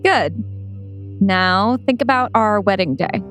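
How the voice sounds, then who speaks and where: A woman playing a warm, playful wife who is a brain researcher, in a script read-through. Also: medium pitch, lively intonation, slow. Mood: calm, coaxing. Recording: music playing behind.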